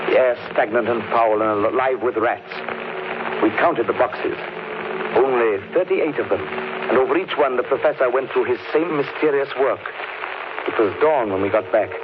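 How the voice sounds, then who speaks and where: Man playing an earnest young husband, brisk and matter-of-fact, mid-pitched voice, dull hissy old radio. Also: lightly acted. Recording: muffled 1930s radio broadcast, hissy.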